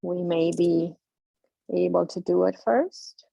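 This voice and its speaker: A woman leads a yoga class with slow, soothing, drawn-out cues, her voice carrying a husky, gravelly edge. She speaks unhurriedly, and the recording is dry and close. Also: lowish voice, mellow, dry recording, lo-fi sound. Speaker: yoga instructor. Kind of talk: guided yoga class instruction.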